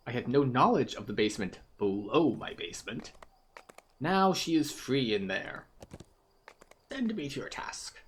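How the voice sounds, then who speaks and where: A man's voice: mid-range voice, a bit bright. Fantasy game wizard played by a man, apologetic, formal and pleading. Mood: regretful. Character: troubled, apologetic male wizard pleading for help.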